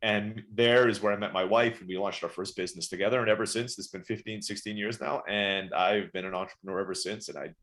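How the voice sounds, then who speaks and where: A man in his forties, born in the Philippines and raised in Turkey, chatting quickly as a podcast guest telling his life story. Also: fairly low pitch, steady tone, fairly bright tone. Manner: rapid, chatty, often saying "right?". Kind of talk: casual interview storytelling.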